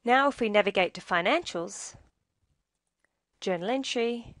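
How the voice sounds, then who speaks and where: A woman reading scripted instructional narration for a software tutorial in a measured, explanatory way. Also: mid-range voice, animated, no room sound, studio quality.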